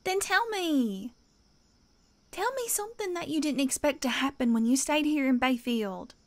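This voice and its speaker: A woman's voice: high voice, expressive, moderate pace. A woman playing a guarded, caring small-town teacher in an audio drama, speaking earnestly and a little hesitantly. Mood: pleading.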